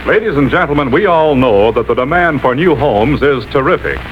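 A man's voice: medium pitch, animated, fairly quick. An American man announcing on 1940s radio, with a polished, salesmanlike delivery and carefully stressed words. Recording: muffled, noisy 1940s radio recording.

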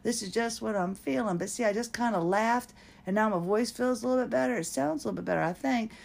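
A woman's voice: on the high side, fast talker, creaky, darkish tone. A woman hosting a personal podcast, rambling and self-mocking, often breaking into laughter as she talks. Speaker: podcast host.

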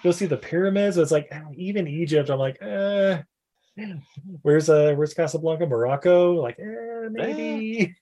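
A man's voice: on the high side, expressive, measured pace, mellow. A man chatting casually as a podcast co-host. He rambles and jokes, padding his sentences with fillers as he goes. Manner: joking, rambling with many fillers. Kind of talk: casual chat.

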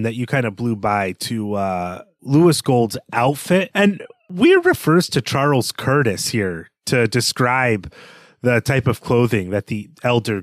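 A man's voice: medium pitch, no echo, studio quality. A man co-hosting a podcast, discussing books conversationally in a bookish, analytical way. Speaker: podcast co-host.

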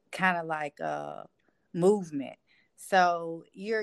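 Woman guest on a podcast, slightly low voice, chatting, very dry sound. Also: vocal fry, dry recording. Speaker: interview guest. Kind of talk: conversational interview answers.

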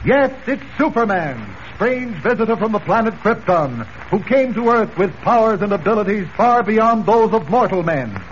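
A man's voice: high voice, very animated, moderate pace. A man announcing a 1940s radio serial in a dramatic, declamatory manner. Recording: muffled, slightly noisy old radio recording.

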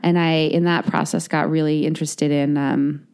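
A woman's voice: deep voice, flat delivery, normal speed, a little mellow, clean tone. A woman in her late thirties, an author, talking reflectively in a casual interview and searching for words with many fillers. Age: 30s. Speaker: author guest.

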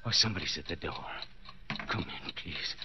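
An actor playing a desperate, jealous husband in a 1940s radio suspense play, halting and intense, repeating his words. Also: low voice, sharp tone, breathy edge, dull audio, full character voice. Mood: frantic panic. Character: desperate, jealous husband, a clock repairman trapped bound in cellar. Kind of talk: 1940s radio suspense acting, inner monologue. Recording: noisy vintage 1940s radio recording.

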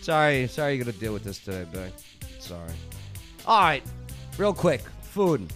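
A man's voice: mid-range voice, sing-song, fairly bright tone, soft background music. A man hosting a live radio talk show, joking and teasing his co-hosts. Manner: joking, teasing, quick asides.

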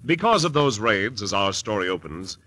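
A man's voice: lowish voice, sing-song, normal speed, pushed and bright, no echo. An American man narrating an old-time radio adventure as announcer, in a dramatic, scripted style. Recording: old radio broadcast with organ music. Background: organ music.

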